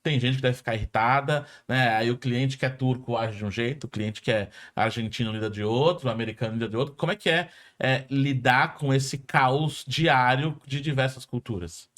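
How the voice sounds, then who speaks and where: A Brazilian man with a Brazilian Portuguese accent, hosting a podcast interview in a lively, conversational way. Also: medium pitch, normal speed, few pauses. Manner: lively and conversational, with frequent restarts. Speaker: podcast host.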